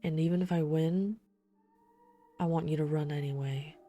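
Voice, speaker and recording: A woman playing a tormented, guilt-ridden vampire hunter in an intimate ASMR audio drama, halting with dramatic pauses. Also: deep voice, steady tone, warm tone, soft background music, natural voice. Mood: anguished, menacing. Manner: slow, halting, dramatic pauses, breathy sighs.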